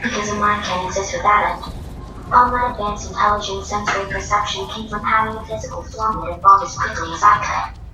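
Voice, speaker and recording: A woman plays a possessive film android who coaxes and condescends in articulate, smooth talk. The voice is medium-pitched, heard from a distance with heavy echo and loud music. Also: fairly even intonation, a little fast, close to an everyday voice. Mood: persuasive. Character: controlling, coaxing female android, possessive and articulate.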